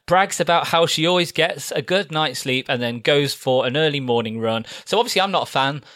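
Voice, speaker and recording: A man's voice: a little high, animated, brisk, fairly bright tone, no room sound. Rambling man recapping a show. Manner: rambling, lots of fillers and restarts, dry humour. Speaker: podcast host. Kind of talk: casual recap of a TV series.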